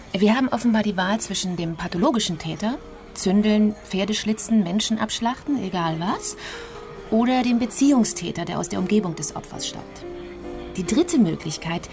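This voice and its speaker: Actress doing a sharp ironic investigator lady in a radio play, mid pitch, music going behind. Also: normal speed, creaky, lightly acted. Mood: analytical. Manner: ironic, probing, articulate. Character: sharp, sardonic female criminal investigator, coolly analytical. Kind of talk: naturalistic radio drama acting.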